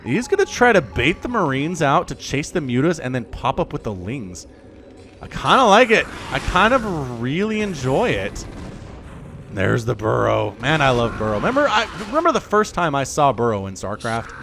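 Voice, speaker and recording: A man in his thirties giving solo play-by-play commentary on a strategy game match, chatty and excitable with jokey asides. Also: medium pitch, normal speed, noisy background. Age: 30s. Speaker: game caster.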